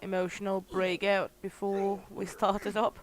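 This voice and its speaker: Slow-talking hoarse woman streamer. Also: medium pitch, flat delivery. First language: Danish. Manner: unhurried, chatty, greets viewers warmly by name. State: slightly hoarse. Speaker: gaming streamer. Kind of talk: casual livestream commentary while playing.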